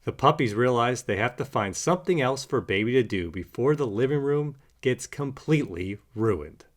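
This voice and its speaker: A man narrating a children's picture book in an animated read-aloud style. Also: medium pitch, moderate pace. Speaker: storybook narrator.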